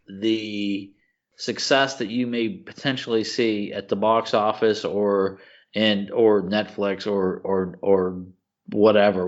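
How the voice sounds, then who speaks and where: A man's voice: on the low side, dull audio. A man co-hosting a casual podcast, giving opinions emphatically, with slang, repetition and rhetorical questions. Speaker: podcast co-host.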